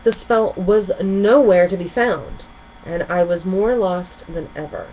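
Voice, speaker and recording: A woman voicing a gentle, reassuring pony princess who mentors others in a storybook. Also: on the low side, a little slow, muffled recording, a little hiss or hum. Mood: reminiscing.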